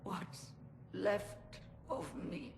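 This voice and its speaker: An actress playing a weakened, desperate female mage in a video game, speaking in halting, strained phrases. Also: medium pitch, very animated, vocal fry, noisy background, full character voice. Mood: weak, stunned. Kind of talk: video game cutscene acting.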